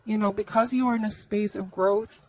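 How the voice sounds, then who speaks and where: A woman's voice: mid-range voice, fairly even intonation, normal speed, darkish tone, poor audio. Woman giving a reflective tarot reading. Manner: reflective, advisory, seeks agreement with tags like okay and right. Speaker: tarot reader, host. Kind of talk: conversational tarot reading, addressed to the viewer.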